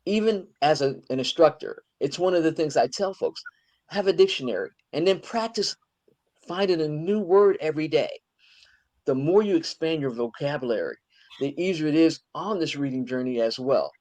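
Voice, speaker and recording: An older woman hosts an interview. She chats with lively enthusiasm and an easy, conversational flow. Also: low-pitched, normal speed. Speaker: host.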